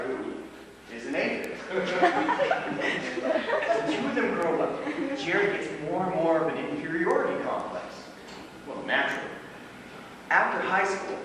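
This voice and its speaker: A man plays a wry, rambling stoner housemate in a live stage play. His voice is fairly high and swings widely as he draws out his tall stories in halting phrases. He is heard from far off in a very echoey, noisy hall. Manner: halting, drawn-out storytelling with dramatic swells. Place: stage of a small theatre. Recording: echoey theatre hall, distant mic, noisy.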